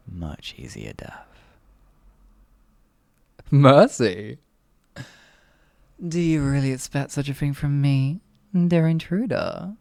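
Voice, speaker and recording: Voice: mid-range voice, mellow, no echo. A performer voicing an evil, sadistic sorcerer in an ASMR fantasy roleplay, theatrical and condescending, stretching words and slipping into menacing whispers. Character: evil, sadistic, all-powerful sorcerer, gloating and cruel.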